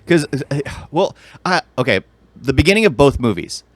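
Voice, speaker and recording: A man's voice: fairly high pitch, big pitch swings, moderate pace, dry recording. A man co-hosting a podcast, talking conversationally and informally about movies. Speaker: podcast co-host. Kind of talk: casual movie chat, conversational.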